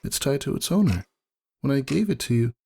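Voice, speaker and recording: A man voicing a sweet, confused, sickly female pony in a weak, raspy voice for a dramatic story reading. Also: mid-range voice, big pitch swings, brisk, darkish tone, voice pushed up, full character voice. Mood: confused, frail.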